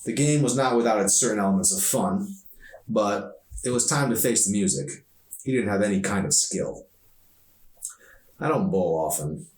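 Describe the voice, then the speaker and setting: A man reading his own novel aloud as an author, in expressive audiobook narration. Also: on the low side, moderate pace. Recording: echoey room, distant mic.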